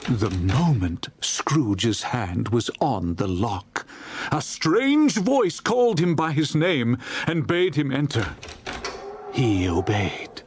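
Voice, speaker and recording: A man narrating a classic story as a dramatized audiobook, in a theatrical, expressive storytelling manner. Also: mid-range voice, slow pace, slight background noise. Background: music.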